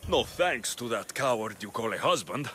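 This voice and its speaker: Bright, forceful mercenary hero. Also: male voice, medium pitch, big pitch swings, normal speed, slightly rough. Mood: scornful. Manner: terse, wry, assertive. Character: bold, dry-witted mercenary hero. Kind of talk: video game cutscene acting.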